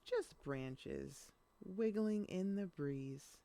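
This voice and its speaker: Unhurried woman reading a children's story, gravelly. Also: fairly low pitch, lively intonation, mellow. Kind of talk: expressive children's read-aloud narration.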